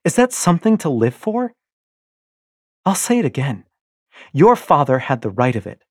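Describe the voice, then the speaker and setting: A man voicing a passionate young rebel wife, speaking urgently and pleadingly with a breathy tone. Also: fairly high pitch, big pitch swings, moderate pace, no room sound, clean studio sound, voice pushed up. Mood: impassioned.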